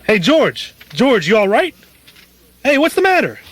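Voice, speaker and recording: A man playing a loud, folksy, concerned small-town friend in a comedic radio drama. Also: extremely high pitch, wildly swooping pitch, measured pace, husky, heavily acted. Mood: worried, exasperated. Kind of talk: comedic radio show read-through.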